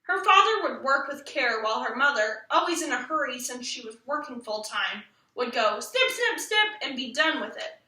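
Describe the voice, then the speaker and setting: A woman's voice: high voice, sing-song, normal speed, hardly any pauses, sharp tone. A woman narrating a children's story expressively, as a storybook reader. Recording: echoey room, distant microphone.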